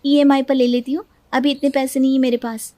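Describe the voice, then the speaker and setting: A woman voicing a lively, easily upset young working daughter-in-law in a cartoon story. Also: high voice, brisk, voice pushed up, natural voice. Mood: eager. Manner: expressive, complaining and pleading.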